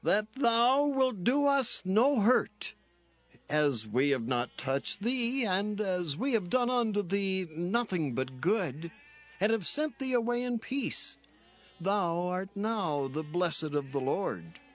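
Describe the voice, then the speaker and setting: A man playing an imperious Philistine king in a dramatized scripture reading, declaiming in drawn-out phrases. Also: sits high, sing-song, heavily acted. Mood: formal, conciliatory.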